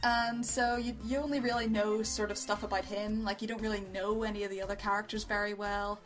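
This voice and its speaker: A woman's voice: medium pitch, level tone, brisk, soft background music. A woman co-hosting a casual review show, talking in an informal, rambling, giggly way. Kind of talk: casual chat, reviewing amateur voice acting.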